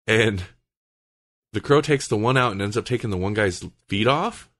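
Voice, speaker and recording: Very low-voiced man chatting casually on a podcast. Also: normal speed, fairly bright tone, no echo. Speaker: podcast host.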